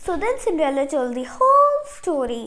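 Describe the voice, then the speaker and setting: A woman's voice: high voice, big pitch swings, sharp tone. A woman with a childlike voice retelling a fairy tale from memory, stumbling and repeating words as she goes. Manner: halting, repeats and stumbles over words, dramatizes dialogue. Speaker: storyteller.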